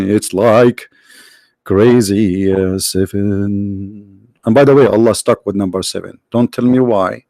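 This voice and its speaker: Host: man, mid-pitched, first language Arabic, mocking and halting delivery, dry close mic. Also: darkish tone, no room sound. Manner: sarcastic, halting with many fillers, breaks into mock singing. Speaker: live-stream host. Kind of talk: mocking religious debate stream.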